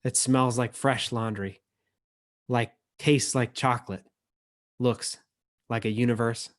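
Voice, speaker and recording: A man's voice: medium pitch, steady tone, takes it slowly. A man acting as emcee on a video call, speaking casually to a group and reading poetry aloud. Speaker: emcee and book editor. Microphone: video call microphone.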